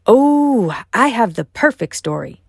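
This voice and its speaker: A woman's voice: fairly high pitch, sing-song, a little slow, gravelly, no echo, clean studio sound, pitched above the performer's own voice, full character voice. A woman voicing a small, boastful, easily miffed male dragon telling a tale from a children's storybook in a theatrical, self-important way. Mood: excited. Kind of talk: children's storybook character reading.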